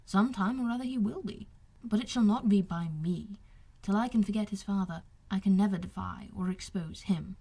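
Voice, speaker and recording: Woman reading as husky, aggrieved young gentleman officer. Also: mid-range voice, normal speed. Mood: resigned, bitter. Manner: formal, earnest, confiding. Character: wronged young gentleman officer, smooth-talking, self-pitying. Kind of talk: audiobook character dialogue.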